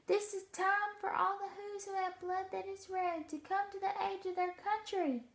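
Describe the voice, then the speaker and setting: A woman's voice: very high-pitched, flat delivery, normal speed, reverberant, voice pushed up. A woman voicing a small, anxious male town mayor from a storybook, rallying his people in grand, declamatory fashion. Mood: solemn, rallying. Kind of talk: storybook read-aloud.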